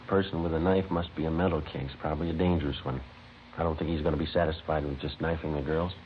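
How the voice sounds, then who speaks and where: An actor playing a businesslike police sergeant in an old radio crime drama, clipped and matter-of-fact, on a muffled vintage recording. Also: male voice, extremely low pitch, fairly even intonation, quick pace, a little hiss or hum. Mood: businesslike, probing. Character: businesslike male police sergeant, terse and steady. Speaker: police detective narrator. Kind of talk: 1950s radio crime drama acting and narration. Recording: muffled old radio broadcast, music behind.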